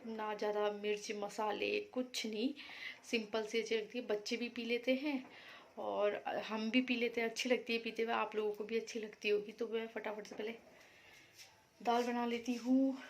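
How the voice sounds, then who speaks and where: A woman vlogging about her day in a casual, rambling way, a little hoarse from being unwell. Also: a little high, level tone, normal speed, slight background noise. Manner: chatty, rambling, explains herself to viewers. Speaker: vlogger housewife. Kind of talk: casual daily vlog chat.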